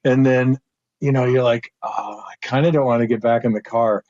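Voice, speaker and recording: A man's voice: normal speed, no echo. A middle-aged man, a former football lineman, co-hosting a casual podcast and telling a rambling story, often laughing. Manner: rambling storyteller, laughs often, jumps pitch when excited. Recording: slightly dull lo-fi sound.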